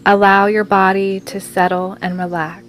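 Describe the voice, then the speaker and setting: A woman guiding a scripted meditation in a soothing, measured manner with long pauses. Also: medium pitch, little pitch movement. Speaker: meditation guide and podcast host. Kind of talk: guided meditation, scripted narration. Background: music.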